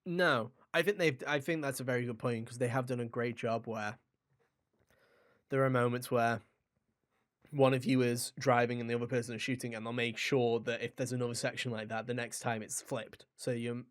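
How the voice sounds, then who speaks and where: A man's voice: medium pitch, steady tone, moderate pace. A man co-hosting a gaming podcast, chatting casually and enthusiastically in a joking, sweary way. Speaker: podcast host and game reviewer.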